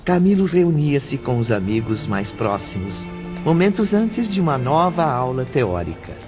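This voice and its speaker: A man narrating a dramatized audiobook as a grave, formal storyteller with deliberate delivery. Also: mid-range voice, big pitch swings. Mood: solemn. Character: measured, grave male narrator of a spiritist novel. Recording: dull lo-fi with clear music bed.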